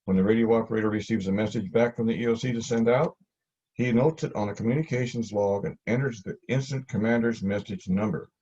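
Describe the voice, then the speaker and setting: A man giving scripted instructional narration in a plain, measured way, as a class instructor. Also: fairly low pitch, dark tone, husky. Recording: dull, lo-fi audio.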